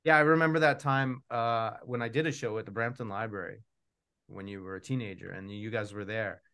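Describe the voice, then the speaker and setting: A man's voice: on the low side, fairly even intonation, brisk, sharp tone, clean tone. A middle-aged man, casually hosting an online event from a room at home. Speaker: host, puppeteer and author. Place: home room on a video call.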